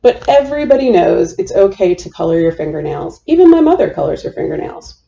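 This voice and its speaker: A woman's voice: on the low side, very animated, brisk, gravelly, mellow, muffled recording, full character voice. A woman voicing a stubborn, pleading little girl in a children's storybook. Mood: bored, determined. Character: bored, stubborn, pleading little girl who loves markers. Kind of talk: children's storybook read-aloud.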